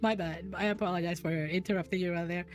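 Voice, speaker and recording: A woman's voice: lowish voice, steady tone, fast, soft background music. Giggly, rambling woman host. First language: Spanish. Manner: giggly, rambling with many restarts. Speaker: podcast host. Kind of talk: casual streamed chat.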